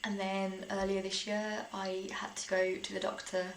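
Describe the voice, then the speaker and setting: A young woman with a British accent chats informally, like a vlogger sharing personal experiences. Her pitch sits in the middle of the female range. The room sounds echoey, with faint noise behind her. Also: monotone, moderate pace. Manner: chatty, informal, with small laughs through sentences. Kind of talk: casual personal storytelling to camera. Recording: roomy echo, faint background noise.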